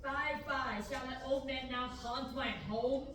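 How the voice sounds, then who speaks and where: A young woman reading the part of a stern middle-aged farmer uncle in Shakespearean verse at a student table reading, steady and measured. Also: high voice. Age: young adult. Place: large classroom, indoors. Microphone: far camera. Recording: echoey room, from far off, background hiss.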